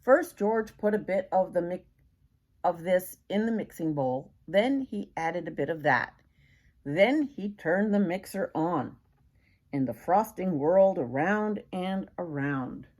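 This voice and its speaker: Animated older woman reading, slightly husky. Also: lowish voice, a little slow. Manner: expressive, dramatic emphasis with asides and questions to young listeners. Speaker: grandmother reading a picture book aloud. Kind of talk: children's storybook read-aloud.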